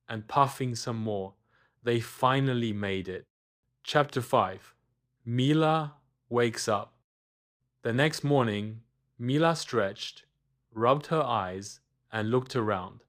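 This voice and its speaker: A man narrating a scripted children's bedtime story, expressive and deliberate, with dramatic pauses. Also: mid-range voice.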